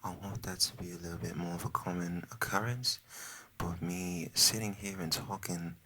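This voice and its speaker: Young male ASMR creator, put-on British accent, soft rambling whisper, low voice with a bright edge. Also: steady tone, moderate pace, a little hiss or hum.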